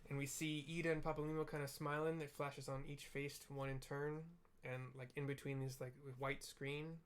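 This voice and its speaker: Young guy talking through a game cutscene, says like a lot, pretty monotone. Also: medium pitch, normal speed, a little hiss or hum. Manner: rambling, heavy on "like" and "kinda". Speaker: let's play narrator. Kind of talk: casual narration of a game cutscene.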